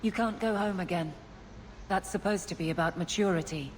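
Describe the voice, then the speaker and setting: A woman's voice: fairly low pitch, brisk, slight background noise, natural voice, rough recording. An actress playing a blunt, dutiful, world-weary city guardswoman in a video game, plain-spoken and dry. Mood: reflective, weary.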